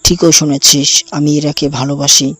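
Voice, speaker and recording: A man's voice: a little high, little pitch movement, moderate pace, bright, forceful tone, no echo. A man playing a menacing, jealous young college rival in an audio drama. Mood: threatening.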